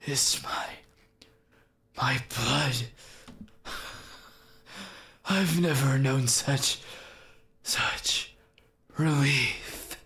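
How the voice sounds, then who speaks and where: A man's voice: medium pitch, bright, forceful tone, voice pushed up, full character voice. A man voicing a proud, wrathful angel warrior from a game, in grandiose, archaic declamation with some reverb. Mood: shaken, bitter. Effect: added reverb and delay.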